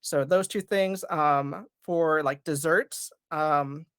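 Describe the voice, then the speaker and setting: A panel guest chatting casually and warmly about home food, with many fillers. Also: mid-range voice, slow, clear, resonant tone, no echo, studio quality. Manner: friendly and talkative, many fillers.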